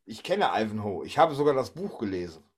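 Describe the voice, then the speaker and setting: A man's voice: fairly low pitch, sing-song, a little fast. A man hosting a podcast in casual chat. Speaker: podcast host.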